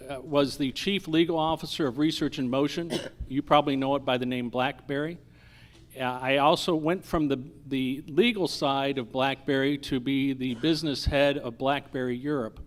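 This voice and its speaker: A man, a lawyer and judicial candidate, giving a campaign introduction with hesitant stumbles and repeats. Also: on the high side. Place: live candidate forum.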